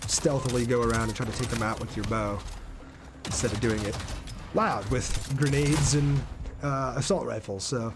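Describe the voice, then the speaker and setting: A man's voice: mid-range voice, fairly even intonation, normal speed, noisy background. A man giving casual live gameplay commentary as a game streamer, talking to his viewers as he plays.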